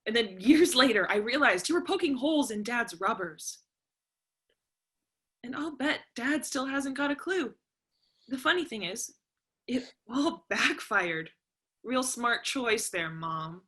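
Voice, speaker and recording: A woman reading the part of a defiant, sharp-tongued young art-student daughter in a stage drama, sarcastic and confrontational. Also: a little high, expressive, measured pace. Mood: sarcastic, accusing.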